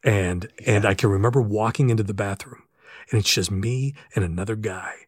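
An American man in his fifties telling stories in a casual interview, animated and self-deprecating, with stammered starts. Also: on the low side, fairly quick, husky, dry recording, clean studio sound. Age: 50s. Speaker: podcast guest, sports arena announcer. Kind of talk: casual anecdotal interview chat. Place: in a room with the hosts.

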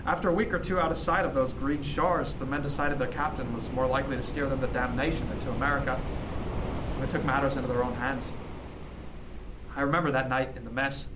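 Actor as earnest, reflective pirate captain, faint noise. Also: male voice, mid-range voice, steady tone, natural voice. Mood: somber, reminiscing. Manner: measured, halting, earnest. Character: reflective, modest sea captain in 1717, former cabin boy. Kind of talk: period radio drama acting, storytelling.

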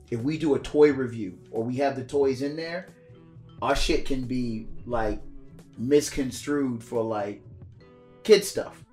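Raspy-voiced podcast co-host with a cough. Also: male voice, mid-range voice. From DC area. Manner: quick, laughing rants. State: hoarse from a cough. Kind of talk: casual profane podcast banter.

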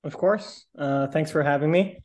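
A man's voice: on the high side, normal speed. A young man from Connecticut, a podcast guest speaking casually and hesitantly with many uh fillers. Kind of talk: casual interview chat.

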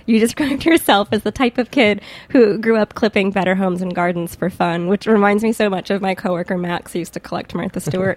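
Female American podcast host, mid-range voice, chatty and enthusiastic interviewer. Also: mid-range voice.

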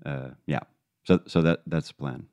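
A man talking casually and reflectively as an interview guest, feeling his way through thoughts with halting restarts. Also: very deep voice, fairly even intonation, warm tone, dry recording. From Netherlands. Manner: halting, thinking aloud in restarts. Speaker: interview guest, independent podcast creator.

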